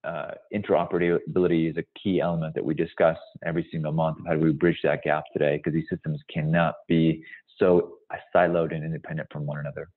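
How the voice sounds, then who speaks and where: A man in his forties, from Hungary and raised partly in the US, explaining things in a measured, emphatic way as a guest presenter on a webinar. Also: rumbling low, level tone. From born in Hungary, moved to the US as a child. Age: 40s. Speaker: company founder, guest presenter. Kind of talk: webinar presentation and question answering. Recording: thin, phone-like call audio.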